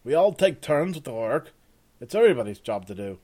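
A man voicing a friendly male sergeant who gives loud, emphatic orders. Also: mid-range voice, very animated, fairly quick, pitched above the performer's own voice. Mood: commanding.

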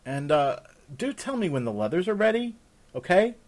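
A man's voice: high voice, sing-song, normal speed, a little mellow, voice pushed up. A man playing a blunt, headstrong woman of rank who talks informally and demandingly in a fantasy story. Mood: grateful. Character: blunt, headstrong young noblewoman, easily exasperated. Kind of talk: fantasy audiobook character.

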